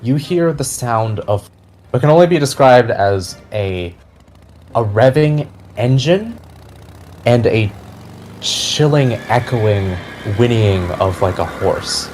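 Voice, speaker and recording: A man narrating a fantasy tabletop game as game master, describing scenes deliberately and with suspense. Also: mid-range voice, lively intonation, a little mellow. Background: background music.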